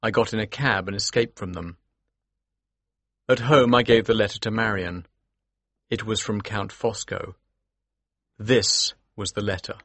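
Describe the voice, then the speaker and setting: An actor playing an earnest, determined gentleman who tells a Victorian mystery in the first person, with a husky voice. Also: fairly low pitch, steady tone, measured pace, pauses often, dry recording. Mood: measured narration. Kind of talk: scripted first-person audiobook narration.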